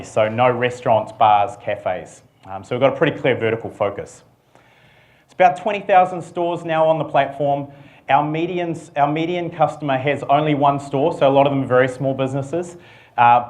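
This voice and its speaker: Man, new zealand accent, middle-aged, conference speaker, relaxed, dry humor, lots of ums. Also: mid-range voice. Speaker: company CEO giving a conference talk. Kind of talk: conference presentation.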